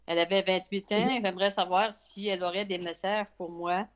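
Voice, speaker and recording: A woman from Canada with a Québécois accent, a caller on a video call telling her story in a halting, plain-spoken way. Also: mid-range voice, fairly even intonation, smooth. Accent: Québécois French.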